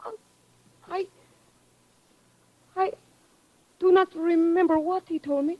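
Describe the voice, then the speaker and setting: High-voiced emotional young woman. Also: fairly even intonation. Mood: defensive, distraught. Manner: emotional, halting. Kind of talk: old-time radio drama acting. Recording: dull vintage radio recording.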